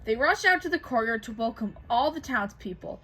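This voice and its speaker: A woman co-hosts a read-aloud of a children's story. Her voice is very high and moves up and down a lot as she narrates expressively. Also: lively intonation, fairly quick, fairly bright tone. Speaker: co-host reading a picture book aloud.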